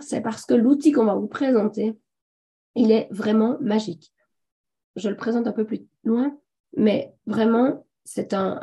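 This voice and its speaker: Hoarse woman hosting a webinar, mellow. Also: fairly high pitch. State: hoarse from a sore throat, coughing. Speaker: webinar host. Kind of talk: live webinar presentation. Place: online video conference.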